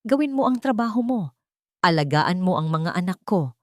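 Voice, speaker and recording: A woman's voice: lowish voice, expressive, normal speed, dry recording, studio quality, close to an everyday voice. A woman with a Filipino accent voicing a cold, domineering wealthy male employer in an audio drama, curt and final. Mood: cold, commanding.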